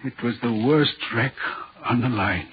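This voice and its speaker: A man's voice: mid-range voice, measured pace, slightly rough. An actor playing a haunted, anguished railway signalman in a brooding, dramatic 1940s radio horror play style. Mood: grim. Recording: muffled 1940s radio recording.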